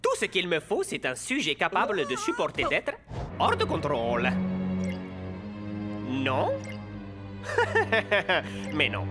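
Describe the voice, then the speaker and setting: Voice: mid-range voice, huge pitch swings, slow pace, long pauses. An actor voicing an arrogant, scornful villainous inventor in a cartoon dub, theatrical and gloating. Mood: gloating, scheming. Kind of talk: dubbed cartoon acting. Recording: music behind the voice.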